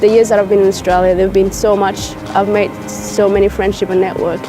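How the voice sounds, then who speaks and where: A woman's voice: medium pitch, flat delivery, a little fast, music in the background. A young woman, speaking thoughtfully in a documentary interview outdoors in a park. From South Sudan. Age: young adult.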